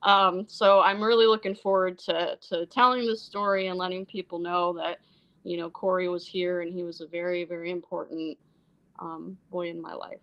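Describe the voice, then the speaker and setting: A woman from Iceland talking casually as an interview guest about her film projects, rambling and animated, acting out quotes as she goes. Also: fairly low pitch, moderate pace, smooth, highs cut off. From born in Iceland, Icelandic mother and American father. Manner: animated, rambling storyteller who acts out quotes. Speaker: interview guest, independent filmmaker and actress.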